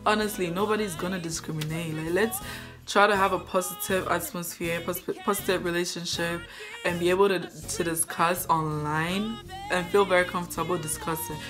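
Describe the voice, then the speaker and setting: Young woman, deep low voice, earnest devotional vlogger, self-filmed close to camera, soft music behind. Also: fairly even intonation, moderate pace. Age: young adult. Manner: earnest, thoughtful, with fillers like "like". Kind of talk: reading a devotion, then casual reflection. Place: bedroom with a tapestry on the wall.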